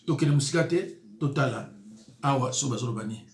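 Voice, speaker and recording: A man's voice: medium pitch, brisk, darkish tone, echoey room. A man, a pastor giving emphatic, indignant commentary on news clips. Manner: emphatic, indignant, with rising exclamations.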